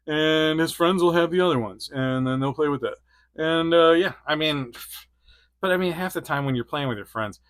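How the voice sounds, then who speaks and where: A man's voice: medium pitch, pushed and bright, no room sound, clean studio sound. A man in his forties reviewing an action figure in a casual, rambling way, laughing often between thoughts. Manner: rambling, giggly, lots of fillers. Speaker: toy reviewer.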